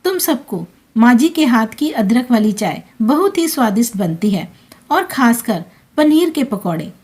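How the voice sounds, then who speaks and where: A woman's voice: a little high, very animated, unhurried, darkish tone, slightly rough, full character voice. A woman voicing a self-centred working daughter-in-law in a family story, breezy and entitled in manner. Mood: sweet-talking.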